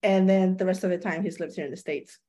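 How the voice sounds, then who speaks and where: A woman's voice: lowish voice, steady tone, quick pace, mellow. A woman from Chile, a podcast guest chatting casually, laughing easily and dropping Spanish words into her English. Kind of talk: casual interview chat.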